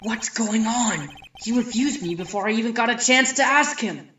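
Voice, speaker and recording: Man acting an earnest young lawyer, bright and forceful. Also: extremely high pitch, normal speed, lo-fi sound, slight background noise. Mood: indignant, pleading. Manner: earnest, stammering when flustered, wry asides. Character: earnest young male lawyer, nervous, wryly exasperated. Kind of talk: amateur video game fan dub acting.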